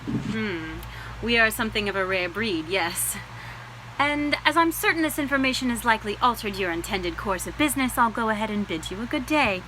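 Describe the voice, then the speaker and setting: An actress playing a proud, articulate woman physician of a bygone era, brisk and formal with a flirtatious streak, at a theatrical table read. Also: fairly high pitch, animated, noisy background, natural voice. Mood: proud, pointed.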